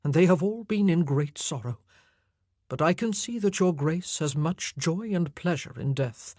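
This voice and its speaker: A woman reading an audiobook, voicing a solemn, kind-hearted jailer who speaks steadily in her own lower register. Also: expressive, unhurried, mellow, no echo, studio quality. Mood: reassuring. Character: gentle middle-aged male jailer, grave, kindly.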